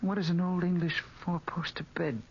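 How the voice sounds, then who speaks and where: A man playing an anxious, drugged American in a 1940s radio drama, halting and faltering. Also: high voice, little pitch movement, slightly rough. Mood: weak, dazed. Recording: muffled, noisy 1940s radio recording.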